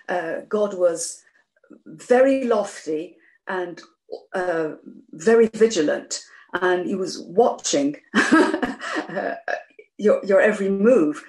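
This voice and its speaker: A woman's voice: medium pitch, a little mellow. Hesitant woman in a roomy space. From Iran. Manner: hesitant, many fillers and restarts, laughs lightly. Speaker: guest. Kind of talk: conversational interview. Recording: roomy echo.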